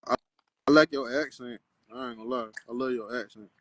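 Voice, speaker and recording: A man from South Carolina, flirting in a relaxed way over a video call. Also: medium pitch, brisk, slightly rough, low-quality recording. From South Carolina, USA. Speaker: live call-in guest. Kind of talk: flirty casual chat.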